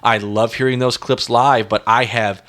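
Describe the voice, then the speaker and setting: A man co-hosting a casual music discussion, halting and repeating himself for emphasis. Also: medium pitch, steady tone, fairly bright tone. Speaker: music podcast co-host.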